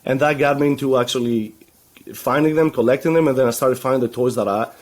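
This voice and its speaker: A man originally from Greece talks on a video call from a room at home, his voice sitting at an ordinary middle pitch. He rambles in loose runs, restarting and filling gaps with "you know" and an easy laugh. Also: fast talker. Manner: rambling, many restarts and fillers, laughs easily. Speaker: guest, web agency founder. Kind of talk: casual video call interview. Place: home room full of toy shelves.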